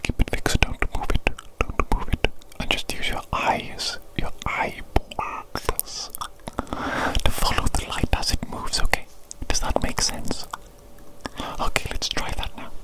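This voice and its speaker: A woman's voice: lightly acted, low-quality recording. Whispering doting evil doctor played by a woman. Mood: soft, instructing. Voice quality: breathy unvoiced whisper. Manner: slow soothing repetition of short phrases. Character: doting evil doctor examining the creature they created. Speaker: ASMR performer. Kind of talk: ASMR medical roleplay.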